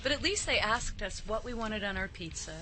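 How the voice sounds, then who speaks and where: A woman's voice: mid-range voice, expressive, fairly quick, pushed and bright, faint music behind. A woman guest telling stories in casual conversation, chatty and emphatic. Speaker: actress, show guest.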